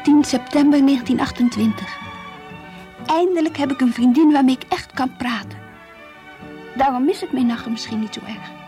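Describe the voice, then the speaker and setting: Woman playing an earnest, high-voiced devout Dutch teenage girl. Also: normal speed, pauses often, warm tone, faint music behind, lightly acted. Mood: dreamy, confiding. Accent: Netherlandic Dutch. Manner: earnest, dreamy, stubborn. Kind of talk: Dutch radio play acting, diary monologue and dialogue. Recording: faintly hissy old radio play recording.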